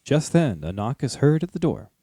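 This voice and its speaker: A man's voice: mid-range voice, sing-song, normal speed, dark tone, no echo, clean studio sound. A man with an American accent who hosts a podcast and narrates stories. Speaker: podcast host and drama narrator. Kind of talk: casual discussion and storytelling narration.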